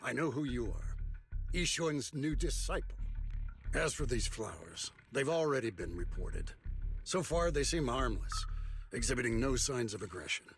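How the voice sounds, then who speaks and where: An actor playing a stern, dutiful martial-sect elder in a video game, speaking in a formal, measured, commanding way. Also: male voice, mid-range voice, big pitch swings, faint music behind. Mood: cool, formal.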